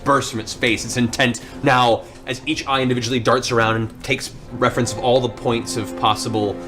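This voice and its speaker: A man's voice: mid-range voice, little pitch movement, brisk. A man running a tabletop fantasy game as game master, narrating in a descriptive, dramatic storytelling way. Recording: music under the voice.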